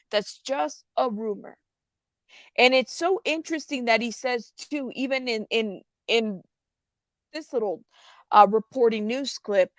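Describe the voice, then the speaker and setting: A woman hosting a casual live news commentary, chatting to viewers in an informal, halting way with emphatic repetition. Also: a little high, dry recording.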